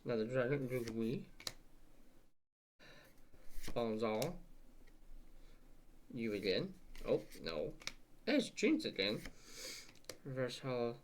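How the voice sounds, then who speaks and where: Sniffly young man muttering slowly. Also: medium pitch, big pitch swings, slight background noise. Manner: rambling, muttering asides, swears casually. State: sniffly, stuffed up. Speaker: channel host opening card packs. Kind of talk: casual unboxing vlog chat.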